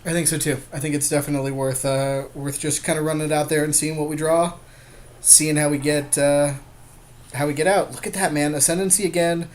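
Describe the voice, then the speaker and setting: A man's voice: on the high side, flat delivery, a little fast, slight background noise. A man giving informal, conversational commentary on a card game as a podcast co-host.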